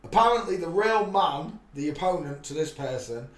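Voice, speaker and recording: A man talks in a rambling, preachy monologue, with his voice sitting a little higher than usual for a man. He restarts his sentences often and sometimes breaks into louder bursts. The echo of the room surrounds everything he says. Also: lively intonation, moderate pace. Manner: preachy, rambling, with frequent restarts and loud outbursts. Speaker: livestream host. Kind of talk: rambling live-stream monologue.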